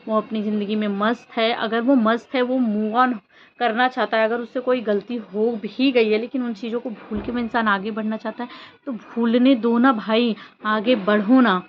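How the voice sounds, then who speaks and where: Female vlogger, higher-pitched, emphatic chatty commentary; dull lo-fi sound with some noise. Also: fairly even intonation, moderate pace. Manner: conversational, emphatic, with rhetorical questions. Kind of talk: casual opinion commentary on social media drama. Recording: dull lo-fi audio with background noise.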